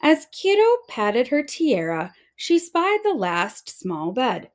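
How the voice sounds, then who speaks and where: A woman narrating a children's storybook aloud in an expressive storytelling manner. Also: high voice, slow pace. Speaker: storybook reader.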